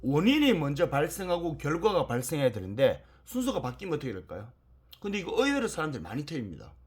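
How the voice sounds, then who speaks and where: A man's voice: fairly high pitch, wildly swooping pitch, quick pace, husky. A man lecturing in an explanatory, emphatic way, repeating key points and asking rhetorical questions.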